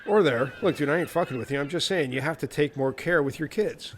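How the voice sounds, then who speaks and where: A man's voice: a little high, brisk, slight background noise, natural voice. A man playing a laid-back, sweary American half-emu castaway in a comic radio play. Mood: blunt.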